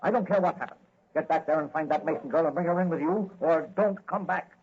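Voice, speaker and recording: An actor playing a gruff, worried newspaper editor, urgent and bossy, in 1940s radio style. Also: male voice, fairly high pitch, quick pace, a little hiss or hum, natural voice. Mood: angry. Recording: dull old radio recording.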